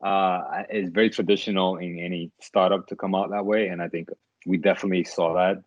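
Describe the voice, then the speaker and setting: A man's voice: low voice, flat delivery, a little fast, dry recording, dull audio. A man in his thirties from the Dominican Republic, a startup CEO answering interview questions thoughtfully, with many fillers and restarts.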